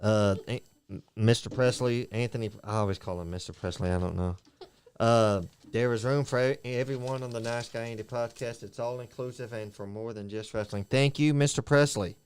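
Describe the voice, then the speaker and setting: A man's voice: mid-range voice, fairly even intonation, normal speed, darkish tone, slight background noise. Stammering man reading chat, faint clicks. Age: 40s. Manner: reads out viewer comments, stammering. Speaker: podcast host reading chat. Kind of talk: casual podcast chat.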